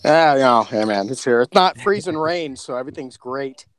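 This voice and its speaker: A man's voice: medium pitch, expressive, moderate pace, no room sound. A man, a podcast co-creator, telling anecdotes in a casual, conversational way.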